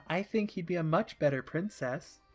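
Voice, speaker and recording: A man's voice: fairly high pitch, lively intonation, moderate pace, faint music behind, lightly acted. A man voicing a teasing, affectionate mother in a story, playfully melodramatic. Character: teasing, affectionate mother playing a helpless princess.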